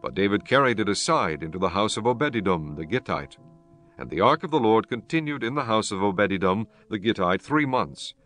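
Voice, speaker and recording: A man narrating scripture for an audiobook in a solemn, formal, measured style. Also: lowish voice, expressive, fairly bright tone. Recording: music behind the voice.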